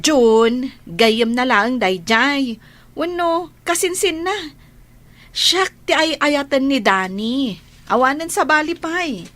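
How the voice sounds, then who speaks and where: A woman's voice: sits high, slow pace, a bit bright. A woman with an Ilocano accent playing a loving, trusting overseas worker and older sister in a radio drama. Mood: dismissive, trusting. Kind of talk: Filipino radio drama acting.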